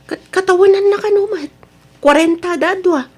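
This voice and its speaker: A woman's voice: extremely high pitch, moderate pace. A woman with an Ilocano accent acting a sensible, chiding wife in a family radio drama.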